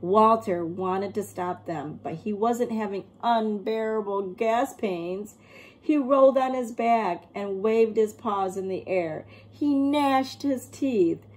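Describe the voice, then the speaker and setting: A woman reading a picture book aloud as an expressive storyteller, acting out the tale and explaining as she goes. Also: mid-range voice. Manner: acts out characters and pauses to explain words. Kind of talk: expressive children's read-aloud.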